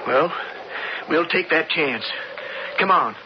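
A man's voice: a little high, lively intonation, slow pace. A man playing an anxious, determined young frontiersman with an American accent in a radio western. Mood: urgent, pleading. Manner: earnest, urgent. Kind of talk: radio drama acting. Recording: muffled radio broadcast, loud noise.

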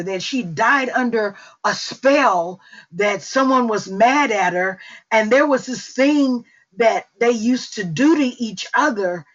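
A middle-aged woman with a Mississippi accent gives an unhurried spoken testimony on a prayer call, with fillers and long pauses. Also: fairly high pitch. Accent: Southern US, Mississippi. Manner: slow, unhurried storytelling with fillers. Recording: dull lo-fi call audio.

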